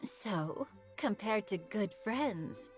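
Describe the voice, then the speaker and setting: An enigmatic game schemer with a mid-pitched voice that stays cool and knowing. She measures every word and never sounds hurried. Faint game music plays behind her. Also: lively intonation. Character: poised, enigmatic adult woman schemer in a game.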